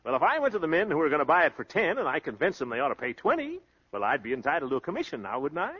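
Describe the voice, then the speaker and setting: A man's voice: fairly high pitch, huge pitch swings, rapid-fire, pushed and bright, slightly rough, heavily acted. An American man playing a cocky, glib, slangy small-time blowhard of a husband in a 1930s radio comedy. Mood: wheedling. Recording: muffled 1930s radio broadcast recording.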